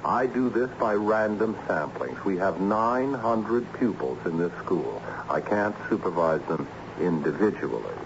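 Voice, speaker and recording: An actor playing a harried, ironic assistant principal in an old-time radio drama, theatrical and patiently explanatory. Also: male voice, deep voice, animated, measured pace, hardly any pauses. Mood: patient, earnest. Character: harried, excitable male school assistant principal with ironic humour. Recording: dull, noisy old radio recording.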